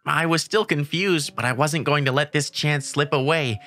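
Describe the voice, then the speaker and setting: A woman's voice: low-pitched, normal speed, fairly bright tone, faint music behind. A woman voicing a shy teenage boy who narrates the story and stammers when flustered, in a manga dub. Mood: narrating. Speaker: narrator and lead character.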